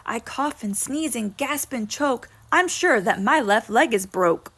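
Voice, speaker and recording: Woman playing a loud, whiny little girl faking sick. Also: on the high side, lively intonation, a little slow, slightly rough, heavily acted. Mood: whiny, dramatic. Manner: exaggerated, emphatic complaining. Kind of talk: children's poem read aloud.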